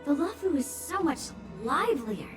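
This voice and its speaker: A high, girlish voice for a young game swordswoman, proud of her skill and blunt about it. She speaks in performed character style over clearly audible music. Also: very animated, moderate pace. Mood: proud, friendly. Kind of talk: video game voice acting.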